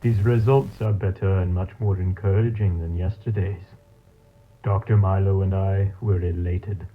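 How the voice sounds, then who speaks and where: An actor playing a coldly clinical mad scientist, dictating experiment logs in a formal, measured way for an analog horror audio drama. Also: male voice, sits low, fairly even intonation, lightly acted. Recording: tinny, phone-like tape log sound.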